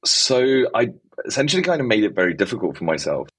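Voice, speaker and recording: A man's voice: on the low side, sing-song, quick pace, fairly bright tone, breathy edge, poor audio. A man from the Czech Republic who speaks with an English accent, talking as a founder in an interview, with a rambling delivery full of "kind of" and "basically". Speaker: guest, startup founder. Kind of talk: conversational interview.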